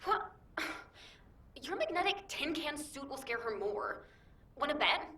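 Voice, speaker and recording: A cheeky young game soldier who teases her squad. Her voice is bright and swoops playfully, heard in an echoey space. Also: sing-song, unhurried, exaggerated voice. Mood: teasing. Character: playful, teasing young female squad member. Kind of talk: scripted video game banter. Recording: echoey, distant, noisy with rumble.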